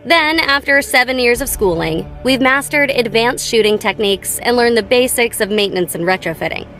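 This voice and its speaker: A woman's voice: fairly high pitch, lively intonation, moderate pace, fairly bright tone. An American actress voicing a playful, nonchalant young female gun-tech tinkerer in a video game, with a light, girlish, teasing delivery. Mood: matter-of-fact. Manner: teasing, breezy, casual. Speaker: voice actor for a video game character. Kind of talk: scripted game character voice lines. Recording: studio voice over background game music. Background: background music.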